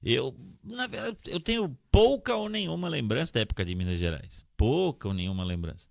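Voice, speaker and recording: A man's voice: mid-range voice, big pitch swings, brisk, creaky, dry recording, clean studio sound. A middle-aged man with a São Paulo Brazilian accent telling his story in an interview, emphatic, repeating phrases and checking he is understood. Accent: São Paulo Brazilian Portuguese. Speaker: podcast guest, pharmaceutical sales representative. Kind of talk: conversational personal storytelling in an interview.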